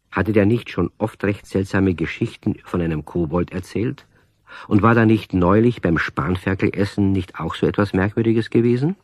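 A man narrating a scripted children's radio story as a storyteller, with a husky voice. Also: sits low, darkish tone, dry recording, clean studio sound.

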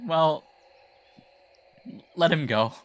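Grieving, weary king played by a man, highish bright voice, slow declamatory delivery, Shakespearean radio drama. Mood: weary, resigned. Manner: theatrical, declamatory. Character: grief-stricken weary king. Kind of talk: Shakespearean radio play acting.

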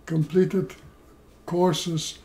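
An older man from Poland, seated at home, telling his life story in a slow, measured way with many pauses, recorded by a camera across the room. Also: fairly high pitch, level tone, dark tone. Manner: slow, measured, pausing between phrases. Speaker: interviewee. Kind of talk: oral history storytelling. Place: living room, indoors.

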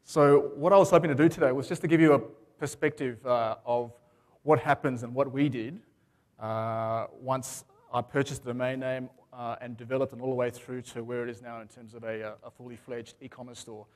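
A man's voice: medium pitch, moderate pace. Rambling man in his forties. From Australia. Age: 40s. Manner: relaxed, rambling, lots of fillers. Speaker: conference speaker. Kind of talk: conference talk. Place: large conference hall stage.

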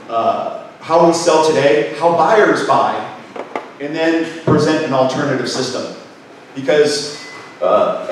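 A middle-aged man giving a lively workshop talk on a handheld mic in a conference room, asking the audience questions. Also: on the high side. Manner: energetic, gestures, asks the room questions. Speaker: business speaker. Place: conference room with projector screen. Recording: echoey room from far off, faint noise.

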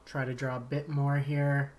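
A man, slightly raspy from a long day of talking, calmly commentating his card game as he plays, thinking aloud and muttering asides. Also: mid-range voice, normal speed. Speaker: game streamer. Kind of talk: casual gameplay commentary. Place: home streaming setup.

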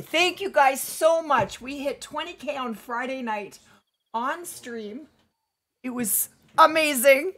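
A woman live-streamer is chatting through her game at her home desk mic, greeting her viewers one by one. Her voice sits high and bright. She is losing her voice from too much streaming.

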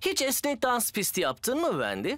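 A man's voice: extremely high pitch, wildly swooping pitch, moderate pace, full character voice. A man voicing a friendly, capable builder who leads a team in a children's cartoon. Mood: upbeat. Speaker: cartoon lead character. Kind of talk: animated children's show dubbing. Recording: music under the dialogue.

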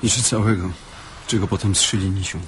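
A man's voice: deep voice, fairly even intonation, brisk, background noise, close to an everyday voice. An actor playing a nervous, simple male gang member in a grimly comic radio drama, with terse colloquial speech. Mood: muttering wonder.

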